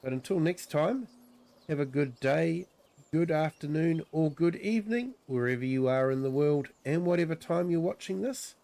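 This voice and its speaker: A man with a New Zealand accent and a croaky voice from a cold, giving easygoing livestream commentary on a farming game, full of ums and uhs. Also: on the high side, expressive, moderate pace, dark tone. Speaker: streamer. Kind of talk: relaxed livestream gameplay commentary.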